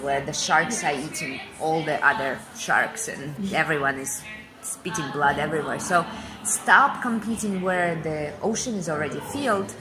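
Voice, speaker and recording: A woman's voice: deep voice, rough recording. A woman in her forties from Kazakhstan, a guest giving fluent, emphatic interview answers and repeating phrases for effect. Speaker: interview guest, business speaker.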